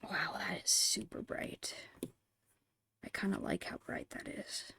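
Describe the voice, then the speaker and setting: A woman murmuring casual ASMR painting commentary in a whispery, creaky voice, unhurried and thinking aloud, close to the mic. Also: medium pitch, sharp tone. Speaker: ASMR digital art streamer. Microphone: close microphone, dry.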